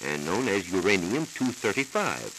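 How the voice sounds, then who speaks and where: A man's voice: sits low, very animated. Formal 1940s American radio science man, crackly disc. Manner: formal, measured, didactic. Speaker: science reporter. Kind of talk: 1940s radio science lecture, scripted explanation.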